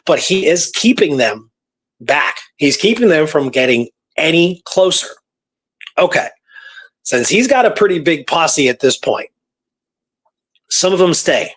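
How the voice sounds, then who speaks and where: A man hosting a talk show, retelling a true story in a casual, animated way with repeated phrases and pauses for drama. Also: on the high side, poor audio. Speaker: podcast host telling a true historical story.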